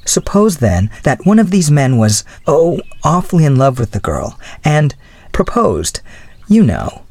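Man playing a young woman, high and lilting, earnest, flustered, halting, husky edge, storybook dialogue. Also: sing-song, darkish tone, no echo, exaggerated voice. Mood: hesitant, earnest. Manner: hesitant, halting and gushing.

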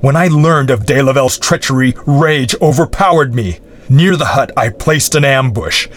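Man doing a smooth, scheming baron. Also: medium pitch, normal speed, a little hiss or hum, voice pushed up, close to an everyday voice. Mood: menacing. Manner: smooth, courtly, menacing. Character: scheming, jealous middle-aged male baron.

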